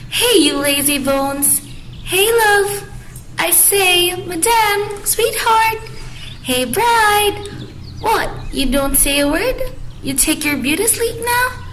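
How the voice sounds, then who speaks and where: A man's voice: very high voice, fairly bright tone, slight background noise, exaggerated voice. A man voicing a fussy, exclamatory female nurse in an amateur radio drama. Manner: exclamatory, drawn-out calling. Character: fussy, teasing female nurse, loudly grieving in alarm.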